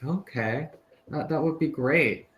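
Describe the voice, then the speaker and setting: A man's voice: on the high side, takes it slowly, a bit bright, slight background noise. A man from the tutoring English in a casual conversation lesson over a video call, friendly and hesitant, with many fillers and restarts. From United States.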